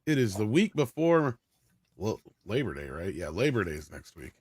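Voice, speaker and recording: A man's voice: on the low side, very animated, moderate pace, a little mellow, slight background noise. A man hosting a casual panel show, chatting and introducing people. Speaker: show host.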